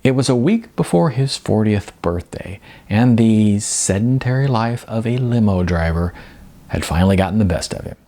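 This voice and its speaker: A man's voice: lowish voice, lively intonation, moderate pace, mellow. A man, an author reading from his own novel in an audiobook narration style with casual asides.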